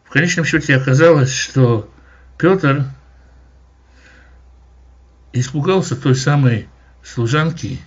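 A man teaching verse by verse through a Bible chapter, in a measured, explanatory way with occasional hesitations. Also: mid-range voice, breathy edge, dull audio.

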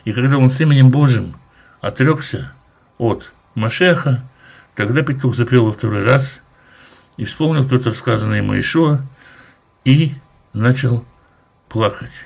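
A man explains a Bible chapter passage by passage, speaking in a measured, explanatory way and now and then pausing to hesitate. His voice sits at an ordinary pitch and has a husky edge. The recording sounds dull and lo-fi. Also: darkish tone. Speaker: Bible teacher. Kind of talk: verse-by-verse Bible commentary.

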